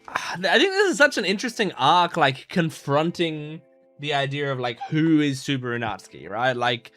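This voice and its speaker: A man's voice: a little high, fairly bright tone. A man hosting an anime reaction video, talking casually and thoughtfully with lots of "like" fillers and restarts. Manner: rambling, heavy use of "like" fillers and restarts; earnest, thoughtful. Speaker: anime reaction channel host. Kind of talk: casual reflective commentary.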